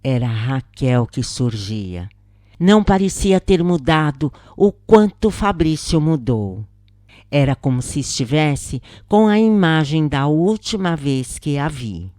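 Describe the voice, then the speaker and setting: A woman with a Brazilian Portuguese accent giving a solemn, dramatic first-person narration in an expressive, deliberate audiobook style. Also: sits low, no echo, studio quality.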